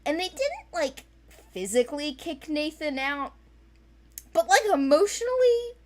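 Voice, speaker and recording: A woman's voice: high-pitched, big pitch swings, unhurried, darkish tone. A woman chatting casually about fictional characters, rambling and correcting herself with enthusiasm.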